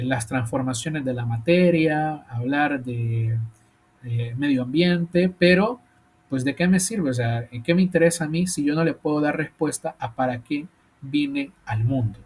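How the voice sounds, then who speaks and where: A man's voice: mid-range voice, moderate pace, a little mellow, slightly rough. A man teaching a philosophy class, thinking aloud with many fillers and rhetorical questions. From El Salvador. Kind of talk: university lecture.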